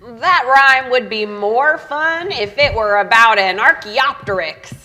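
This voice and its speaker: A woman's voice: a little high, animated, slow, pushed and bright, exaggerated voice. A woman voicing a chatty little chicken puppet who lectures with a clucking, know-it-all manner.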